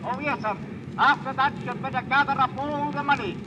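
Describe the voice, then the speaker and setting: An actor in an old radio drama playing an unflappable, stubbornly literal ship's captain with a clipped, understated manner. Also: male voice, very high voice, fairly even intonation, brisk. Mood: stern, matter-of-fact. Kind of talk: 1940s-50s radio acting. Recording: noisy old radio recording, wind roar behind.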